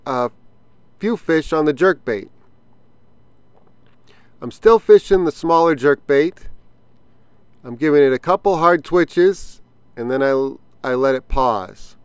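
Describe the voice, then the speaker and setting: A man with a fairly high, smooth voice talks through his fishing in an easygoing way, stopping now and then to think. He casts and reels from a small boat outdoors. The sound from the boat-mounted camera is dry and close. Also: no room sound. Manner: relaxed explanatory, thinking aloud with fillers. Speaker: fishing channel host. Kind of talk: casual outdoor fishing vlog. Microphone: action camera on the boat.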